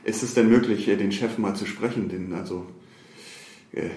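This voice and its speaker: A man's voice: on the low side, steady tone, moderate pace, mellow. A man hosting a podcast on location, chatting casually and playfully with a hesitant, informal delivery. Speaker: podcast host. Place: indoor office corridors and rooms. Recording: roomy echo with faint background noise.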